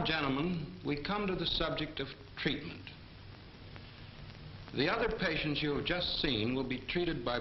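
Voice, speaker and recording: An actor playing a composed, authoritative army doctor, lecturing in a measured, formal 1940s training film manner. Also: male voice, a little high. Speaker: military medical instructor. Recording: muffled, echoey, noisy 1940s film soundtrack.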